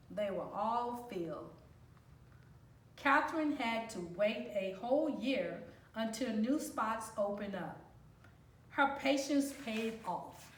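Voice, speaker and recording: A woman reading a children's picture book aloud in a deliberate, explanatory, teacherly way, as if to young students. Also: a little high. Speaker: volunteer storybook reader. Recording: roomy echo, distant microphone.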